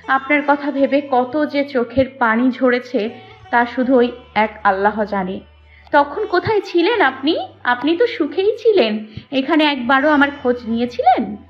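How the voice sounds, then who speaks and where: High-pitched wronged young mother, acted by a woman. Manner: emotional, pleading and accusing delivery. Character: wronged, anguished young mother, defiant and hurt. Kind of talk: romantic audio drama acting. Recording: muffled audio with music behind. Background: background music.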